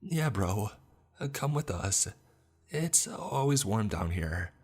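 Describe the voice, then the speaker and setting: A man's voice: on the low side, big pitch swings, slow pace, a little mellow, slightly rough, pitched above the performer's own voice, full character voice. A man voicing a cheerful, eerie ghost of a young boy in a spoken horror story. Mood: coaxing. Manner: playful, coaxing.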